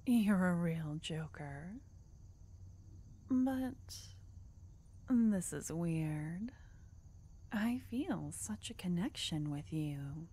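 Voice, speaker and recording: Woman acting a slow, soft, unhinged flirty yandere. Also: fairly low pitch, animated, heavily acted. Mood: flirtatious, drawn. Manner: breathy, half-whispered, teasing, slow and deliberate. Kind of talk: ASMR roleplay.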